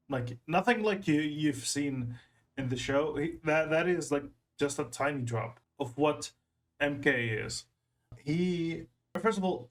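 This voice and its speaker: A man hosting a reaction video in a casual, rambling way, repeating phrases for emphasis. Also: on the high side.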